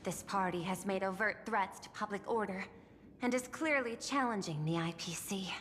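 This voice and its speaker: A woman's voice: medium pitch, a bit bright, a little hiss or hum, lightly acted. Actress as a poised executive woman in long reverb. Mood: grave. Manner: formal, measured, deliberate. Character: poised, guarded corporate executive woman governing a world. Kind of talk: scripted game character dialogue. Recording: long reverb, music behind.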